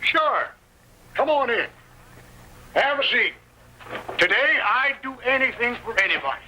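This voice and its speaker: A high, bright voice of an old Western hermit. He is excitable and friendly, full of folksy frontier exclamations, and swings widely in pitch. It comes from a vintage radio drama. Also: unhurried, heavily acted. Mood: happy, excited. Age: older. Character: excitable, friendly old male hermit living alone in the West. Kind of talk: 1930s-40s Western radio acting. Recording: faintly noisy old-time radio recording.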